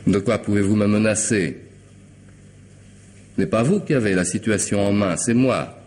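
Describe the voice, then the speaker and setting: An actor playing a coolly polite, intelligent hired killer in a radio mystery drama, speaking deliberately and sardonically, with commanding theatrical pauses. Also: male voice, fairly low pitch, a little mellow, natural voice. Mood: sardonic, menacing. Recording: noisy old radio drama recording.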